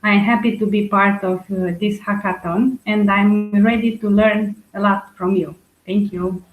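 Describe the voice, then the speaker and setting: A woman's voice: mid-range voice, steady tone, unhurried, phone-quality sound, soft background music. A woman from Romania, a rural English teacher, introducing herself briefly on a video call. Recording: echoey room, faint noise.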